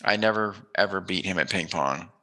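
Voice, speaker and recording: A man's voice: low-pitched, a little fast, bright, forceful tone. A middle-aged man chatting casually as an interviewer, telling memories in a jokey, emphatic way. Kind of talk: casual reminiscing interview chat.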